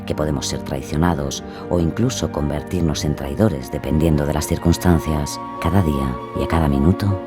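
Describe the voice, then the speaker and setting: Male narrator, extremely low voice, solemn measured scripted documentary delivery, music underneath. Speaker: podcast narrator. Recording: music bed behind the voice.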